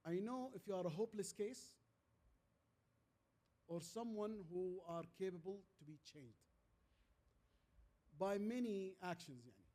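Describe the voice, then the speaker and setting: A man with an Arabic accent speaks as the guest in a fireside chat. His voice is soft-edged and mellow, sitting a little high for a man. He tells anecdotes haltingly, repeating words and landing on short, emphatic phrases. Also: animated. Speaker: guest speaker, transformation leader.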